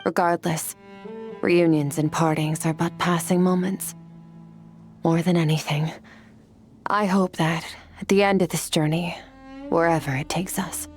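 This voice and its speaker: A woman voicing an ancient, calm swordswoman who talks in a measured, solemn, philosophical way, in a video game. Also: low-pitched. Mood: gentle.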